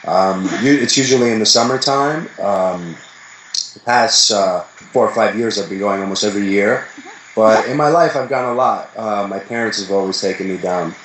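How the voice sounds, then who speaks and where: A young man from the Bronx, chatting casually as an interview guest, relaxed and rambling with many fillers. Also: lowish voice, normal speed, roomy sound, a little hiss or hum, poor audio. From the Bronx, New York.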